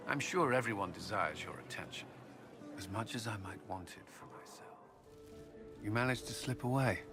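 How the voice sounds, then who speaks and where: An actor playing an earnest, battle-worn military commander in love in a fantasy game, intense and halting when tender. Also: male voice, on the low side, lightly acted. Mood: relieved, tender. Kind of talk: dramatic video game voice acting. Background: orchestral game music.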